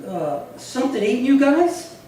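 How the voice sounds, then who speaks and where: A man playing a gruff, evasive small-time crook with slangy, grudging answers in a 1950s-style radio crime drama. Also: very high-pitched, sing-song, moderate pace, mellow. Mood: wary. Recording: very echoey room, distant mic, faint noise.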